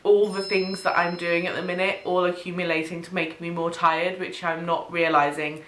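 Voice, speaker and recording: A young woman from the UK, chatting casually in a home vlog, sniffly from a cold, with frequent ums and soft laughs. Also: fairly low pitch, flat delivery, moderate pace. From United Kingdom. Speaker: vlogger. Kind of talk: casual pregnancy update vlog. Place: small bedroom or home studio, indoors. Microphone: camera mic, head-and-shoulders framing. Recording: echoey room.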